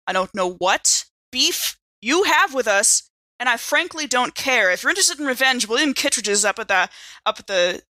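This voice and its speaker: A woman's voice: medium pitch, no echo, low-quality recording. A woman playing a tabletop game, improvising casually and expressively as she describes her actions. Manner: expressive, emphatic. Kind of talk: casual, improvised in-character play.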